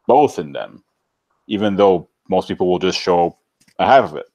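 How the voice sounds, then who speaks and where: Male guest from Canada, deep voice, dry close sound, loose conversational rambling. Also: expressive, normal speed, a little mellow, no echo. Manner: rambling, informal, filler-heavy with many "like"s. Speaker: interview guest. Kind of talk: casual chat, personal storytelling.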